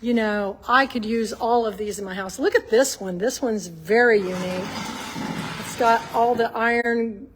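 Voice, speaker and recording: Gushing high-voiced woman. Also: normal speed, a little hiss or hum, poor audio. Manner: gushing, enthusiastic. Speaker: artist hosting a gallery tour. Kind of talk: casual video tour chat. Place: inside a shop gallery.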